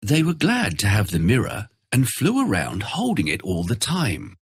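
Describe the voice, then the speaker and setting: A man narrates a children's fairy tale with theatrical flair. His voice is low and very raspy, and it swings dramatically in pitch. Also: normal speed, dry recording, clean studio sound. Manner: expressive, theatrical storytelling.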